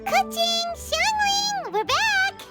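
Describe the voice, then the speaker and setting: A woman voicing a small, cheeky, chirpy floating girl companion in a video game, with a squeaky childlike voice. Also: expressive, takes it slowly, full character voice. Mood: excited. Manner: exclamatory, refers to herself in third person. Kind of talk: video game cartoon acting. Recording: background music under the voice.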